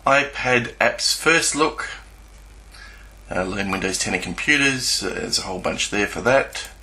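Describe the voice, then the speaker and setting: A man with a low, gravelly voice that still has a bright, forceful edge. He talks through what is on his screen off the cuff, halting and searching for his words. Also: lively intonation. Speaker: YouTuber presenting a screen walkthrough. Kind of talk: unscripted screen-share commentary.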